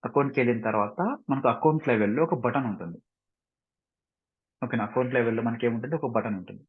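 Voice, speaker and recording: A man with an Indian accent giving an informal step-by-step software tutorial as instructor, mixing in English phrases and often checking understanding with short questions. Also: medium pitch, fast, breathy edge. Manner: mixes English phrases into his explanations. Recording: dull, muffled lo-fi microphone.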